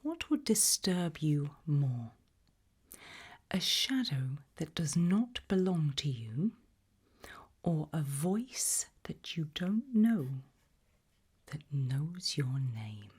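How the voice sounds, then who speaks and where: A woman whispering in a breathy, hushed and deliberate ASMR style, putting questions to the listener. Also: low voice, very animated. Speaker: ASMR host.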